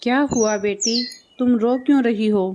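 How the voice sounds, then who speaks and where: A woman's voice: on the high side, steady tone, moderate pace, highs cut off, background noise, lightly acted. A woman voicing a domineering bird stepmother with a bossy, curt manner in a cartoon story. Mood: soothing. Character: domineering, unkind bird stepmother, adult female. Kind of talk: Hindi cartoon story dialogue.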